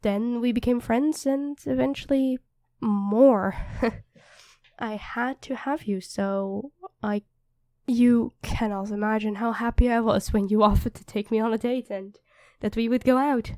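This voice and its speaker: Feisty high-voiced demon queen, acted by a woman. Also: fairly even intonation, measured pace, a little mellow, dry recording, clean studio sound, lightly acted. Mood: tender, earnest. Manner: commanding yet affectionate. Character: feisty demon queen, protective, tender devoted girlfriend. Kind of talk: ASMR roleplay acting.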